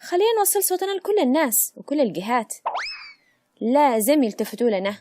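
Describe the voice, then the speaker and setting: A woman's voice: high voice, sing-song, fast talker, a little mellow, slight background noise. A woman playing a hopeful, insistent Yemeni activist rallying her neighbours in a comic cartoon, with an Adeni accent. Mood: rousing. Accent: Adeni Yemeni Arabic. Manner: rousing, insistent. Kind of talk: comic cartoon skit dialogue.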